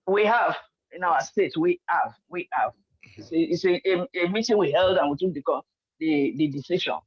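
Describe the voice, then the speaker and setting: A woman's voice: fairly low pitch, normal speed, breathy edge. Insistent Nigerian woman on a muffled line. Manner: insistent, talks over the host, repeats herself. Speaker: caller. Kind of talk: heated political call-in argument.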